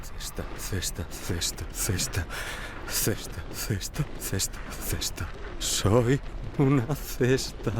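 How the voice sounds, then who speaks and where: A man voicing a timid, addled villager in a video game, rambling nervously and slowly. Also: fairly low pitch, animated, heavy background noise. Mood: fearful muttering. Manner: slow, nervous, rambling, swooping. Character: timid, addled villager hiding under a basket. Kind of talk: dubbed video game character acting.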